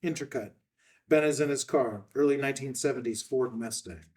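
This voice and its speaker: A man's voice: medium pitch, a little fast, a little mellow, far from the mic. A man reading screenplay stage directions as scripted narration. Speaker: stage directions reader at a table read.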